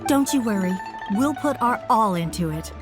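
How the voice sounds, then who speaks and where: An actress playing an eager, deferential artisan shopkeeper in a video game, with a polite, earnest and expressive manner. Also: female voice, fairly high pitch, moderate pace, music in the background, close to an everyday voice. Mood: admiring, eager.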